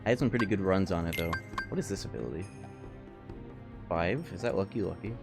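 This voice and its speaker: Male game streamer, low register, casual and sweary, muttering over loud music. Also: a little fast, creaky. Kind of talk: casual live game commentary. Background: loud video game music and effects.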